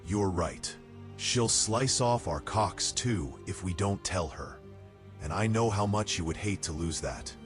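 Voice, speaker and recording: A man playing a cautious, wry, level-headed soldier in a fantasy audio drama, speaking in a measured manner. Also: low voice, soft background music.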